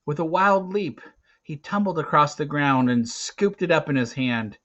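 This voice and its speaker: A man reading an adventure novel aloud in a dramatic, emphatic way, swinging between hushed and loud delivery. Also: on the high side, lively intonation, moderate pace. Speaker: reader of a children's adventure novel. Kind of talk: dramatic read-aloud narration. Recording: dull, lo-fi recording.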